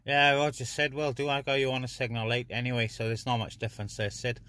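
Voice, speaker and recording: A man's voice: medium pitch, little pitch movement, fast, bright, forceful tone. A man chatting casually as an amateur CB radio operator, recorded inside a parked car.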